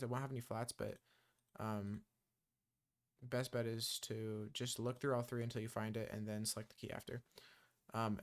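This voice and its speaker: A man walks through a beginner software tutorial in a relaxed, rambling way. He fills his sentences with ums and uhs. His voice is smooth and resonant, sitting at an ordinary middle pitch. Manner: loose and chatty, many ums and uhs. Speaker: music production tutor. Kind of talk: casual screen-recorded software tutorial.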